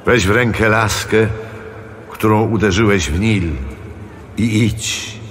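An actor playing God in a biblical radio drama, calm and commanding, giving solemn measured orders. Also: male voice, lowish voice, big-room sound, slight background noise.

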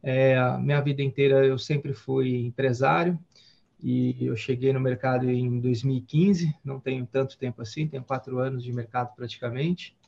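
Gravelly man on a dull video call. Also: medium pitch, moderate pace. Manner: relaxed, rambling, many fillers and restarts. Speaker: guest trader. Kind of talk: casual storytelling on a video call. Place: home office with window. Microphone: headset on a video call.

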